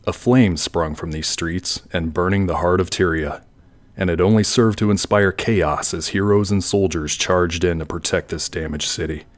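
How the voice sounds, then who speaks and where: A man playing a brooding, grandiose villain in a fan audio drama, delivering his words in a dramatic, measured way. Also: low-pitched, lightly acted. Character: brooding, grandiose male villain plotting world domination.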